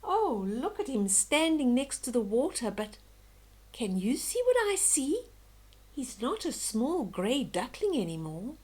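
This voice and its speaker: An older woman reading a children's bedtime story aloud in a gentle, expressive, dramatic way. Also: a little high. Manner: slow, gentle, dramatic, with hushed whispery moments.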